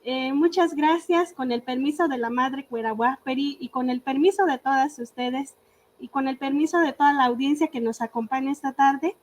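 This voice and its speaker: A woman's voice: high-pitched, normal speed, slight background noise. A woman whose first language is Purépecha, from Michoacán in Mexico, giving an earnest talk on an online panel with many hesitations and fillers. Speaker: invited speaker.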